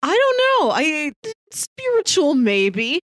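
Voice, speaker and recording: A woman's voice: high voice, huge pitch swings, slow, a bit bright, no room sound, studio quality. A woman co-hosting a casual, conversational discussion about film. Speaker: podcast co-host.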